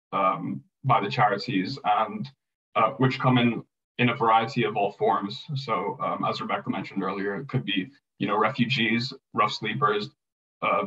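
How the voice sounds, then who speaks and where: A man talks through his work in a low, flat voice, pausing on frequent fillers. He sounds set back from the mic. The sound is dull and lo-fi, as over a video call. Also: recorded from a distance. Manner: hesitant, many fillers. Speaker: panelist, loan management. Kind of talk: prepared talk on a panel.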